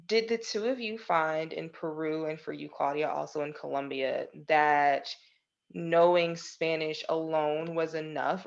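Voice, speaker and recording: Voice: mid-range voice, muffled recording. A moderator asking panelists follow-up questions in an upbeat, friendly way.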